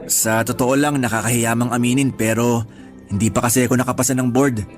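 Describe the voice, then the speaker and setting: A young male teacher played by a radio drama actor talks in a mid-pitched, casual voice. He is friendly and reassuring, teasing a little like a helpful colleague, over faint music. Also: fairly even intonation, brisk, natural voice. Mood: sincere, slightly hesitant. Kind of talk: conversational Tagalog radio drama acting.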